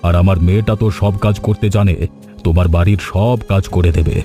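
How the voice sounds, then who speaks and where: Cartoon patriarch, acted by a man: wealthy middle-aged merchant, proud and authoritative, dark soft timbre, faint music. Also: sits low, fairly even intonation, brisk, lightly acted. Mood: resolved. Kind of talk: acted cartoon story dialogue.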